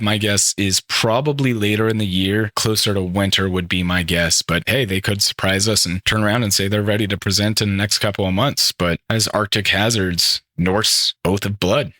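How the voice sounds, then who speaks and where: A man's voice: on the low side, steady tone, normal speed, few pauses, pushed and bright, no echo, studio quality. A young man hosting a gaming podcast alone, rambling and enthusiastic, often correcting himself as he talks through the games. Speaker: podcast host. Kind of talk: solo casual gaming podcast commentary.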